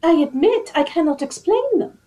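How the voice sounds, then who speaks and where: A woman's voice: very high-pitched, very animated, moderate pace, dark tone. A woman acting a grieving, loyal young lady in a radio drama, earnest and formal. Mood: indignant, defensive.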